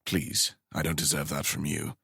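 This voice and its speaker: Guy reading as a tired, grave team boss, deep and quiet. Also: normal speed, no room sound, clean studio sound. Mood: weary. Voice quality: low, quiet and heavy. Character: weary, grave male racing team manager.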